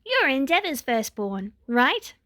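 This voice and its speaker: A woman voicing an excitable, bloodthirsty teenage villain girl in a story, bubbly and blunt. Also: sits high, takes it slowly, no room sound, clean studio sound, voice pushed up, exaggerated voice. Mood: curious. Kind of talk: dramatic fan fiction reading.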